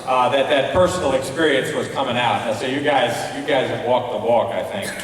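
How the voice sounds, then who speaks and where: Halting man in a very echoey hall. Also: mid-range voice, crowd noise. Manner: halting, searching for words. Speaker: festival adjudicator giving feedback on plays. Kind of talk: informal spoken critique at an awards ceremony. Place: large hall at a school ceremony. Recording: very echoey hall, distant microphone.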